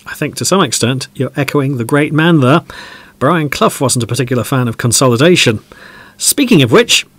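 A man's voice: mid-range voice, animated, moderate pace, a bit bright, no echo. A man playing a polite, chatty, slightly bemused podcast host in an audio drama.

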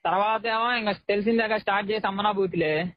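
A man's voice: sits high, fairly even intonation, brisk, no room sound. A young man chatting informally and in slang on a recorded phone call. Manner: informal, slangy, says "bro" often. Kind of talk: casual phone conversation.

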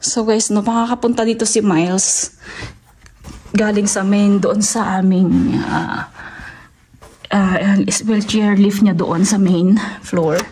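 A woman with a Filipino accent talks in a casual, informal vlog style. Her pitch sits in the middle, and her phrasing halts as she mixes in English words. It is a handheld recording made on the move, with faint noise and clicks in the background. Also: fairly even intonation, takes it slowly, long pauses, dark tone. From Philippines. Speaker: vlogger, homeowner giving a house tour. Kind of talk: casual vlog chat to viewers. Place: walking through a large house basement and outdoors in snow.

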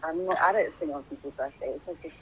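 A woman's voice: low-pitched, quick pace, slight background noise. A young woman on a video call, chatting casually and fumbling over numbers. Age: young adult. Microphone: phone video call. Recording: dull phone video call audio.

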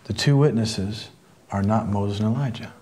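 A man's voice: lowish voice, normal speed, darkish tone. A middle-aged man presenting a church teaching, explaining patiently, repeating key points and answering politely. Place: small indoor studio with a wall screen.

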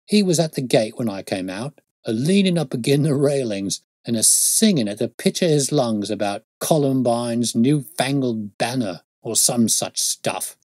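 A man's voice: mid-range voice, lively intonation, moderate pace, hardly any pauses, a little mellow, no echo, studio quality, voice pushed up, natural voice. Man acting a defensive, unschooled police constable. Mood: amused. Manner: colloquial, ungrammatical working-class speech. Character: uneducated, defensive London police constable, mystified and aggrieved.

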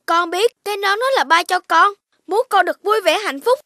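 A performer voicing an eager, childlike little boy puppy in a children's cartoon, with a Southern Vietnamese accent and expressive, exclaiming delivery. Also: extremely high pitch, fairly quick, dry recording, clean studio sound. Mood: earnest. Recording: loud music under the voice.

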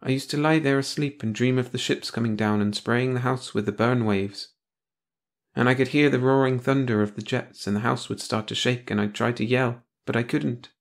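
A man voicing a frightened young wife who pleads softly for her husband. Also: mid-range voice, fairly even intonation, moderate pace, voice pushed up, close to an everyday voice. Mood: hushed. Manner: trembling, pleading, soft.

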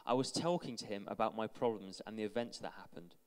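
Young man, earnest steady reading, smooth resonant voice, handheld mic, long church-hall echo. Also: lowish voice, quick pace. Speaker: church member reading a friend's testimony. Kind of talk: reading a testimony aloud. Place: large church hall with stage.

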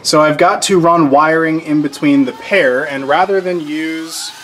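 An American man with a fairly high voice talks through a do-it-yourself job in a casual vlog style. He rambles a little and drops in dry jokes at his own expense. Faint room noise sits behind him. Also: fairly even intonation, measured pace. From United States, Georgia. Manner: rambling, wry self-deprecating asides. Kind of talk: casual instructional vlog, explaining to camera. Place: indoors in a shop workroom under renovation. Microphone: camera microphone at about arm's length.

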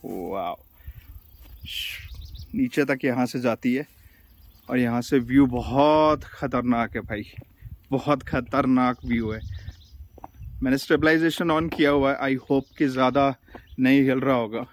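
Male travel vlogger, Indian accent, slow informal delivery with enthusiasm, medium-pitched voice, recorded outdoors. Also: a little hiss or hum. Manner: mixes Hindi and English, informal and enthusiastic. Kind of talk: casual travel vlog chat to camera. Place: outdoors at a hillside viewpoint. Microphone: phone camera.

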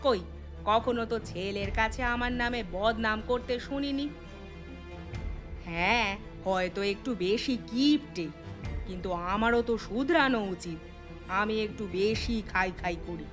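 A woman's voice: on the high side, lively intonation, a little slow. A woman playing an older, food-loving mother-in-law in a cartoon story, folksy and coaxing. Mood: hurt, regretful. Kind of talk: cartoon voice acting. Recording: music behind the voice.

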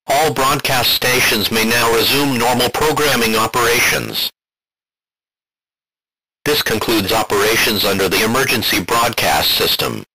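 A man's voice: mid-range voice, a little slow, pushed and bright, a little hiss or hum, rough recording. A man reading a scripted emergency alert as a broadcast announcer, formal and impersonal.

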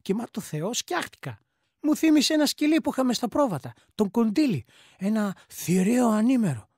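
A woman's voice: on the high side, sing-song, darkish tone, breathy edge, dry recording, clean studio sound. A woman playing an emigrant ex-soldier man, telling an anecdote colloquially in speech for a radio play. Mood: reminiscing. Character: plain-spoken emigrant army veteran man recounting his past.

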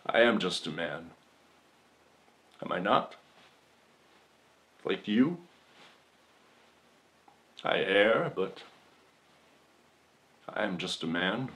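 A man playing a folksy, shameless accused man who gives a mock-solemn, melodramatic courtroom monologue with long dramatic pauses, for comedy. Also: deep voice, lively intonation, a bit bright, heavily acted. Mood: wounded, solemn. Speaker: podcast performer.

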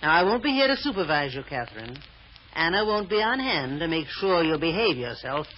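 A woman's voice: sits low, very animated, fairly quick. An actress playing a shrewd, bossy, scheming woman in an old radio drama, clipped and sardonic. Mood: commanding. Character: shrewd, bossy woman scheming and controlling her tippling companion. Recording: dull, faintly noisy old radio recording.

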